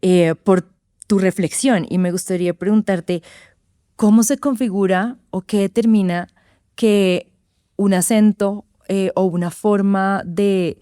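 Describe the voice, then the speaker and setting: A woman's voice: medium pitch, fairly even intonation, unhurried, mellow, clean tone, dry recording, studio quality. A woman, hosting and asking careful, hesitant questions in an interview. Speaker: interviewer, podcast host.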